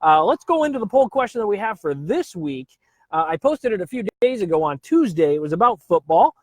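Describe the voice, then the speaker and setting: A man's voice: high voice, very animated, fairly quick, dry recording. A man hosting a casual live stream, upbeat and chatty, greeting viewers by name and dropping filler uhs.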